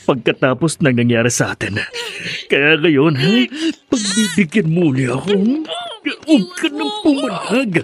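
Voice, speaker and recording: A man's voice: fairly high pitch, big pitch swings, unhurried. A man playing a lecherous, obsessed villain in a Filipino radio drama, with a leering, taunting way of talking. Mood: taunting, menacing. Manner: leering, taunting, chuckling to himself. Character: lecherous, obsessed male villain, menacing and gloating.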